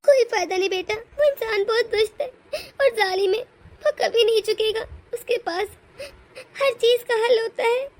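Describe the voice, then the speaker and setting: A woman's voice: very high-pitched, normal speed. A woman voicing a meek, long-suffering mother-in-law in a cartoon family drama, crying as she speaks. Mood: tearful. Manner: sobbing, resigned.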